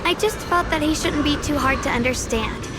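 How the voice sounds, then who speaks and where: High-voiced earnest young swordswoman, played by an actress. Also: normal speed, heavy background noise, natural voice. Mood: earnest, reflective. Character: earnest young female swordmaster, gentle, self-doubting, spirited in battle. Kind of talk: video game voice acting. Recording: loud music behind the voice. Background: loud game music.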